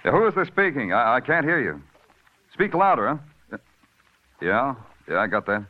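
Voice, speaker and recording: An actor playing a hard-boiled police detective who narrates in a dry, wisecracking way in an old radio drama. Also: male voice, medium pitch, very animated, normal speed. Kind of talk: 1940s radio acting. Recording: dull old radio recording with music.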